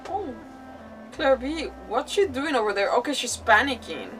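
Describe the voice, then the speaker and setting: A woman with a fairly high voice chats casually as she streams a game. She rambles and circles back over her words, a little away from the mic, with soft music behind her. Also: lively intonation, recorded from a distance. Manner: rambling, giggly, halting with repeated words. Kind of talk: casual chat, reacting to a game.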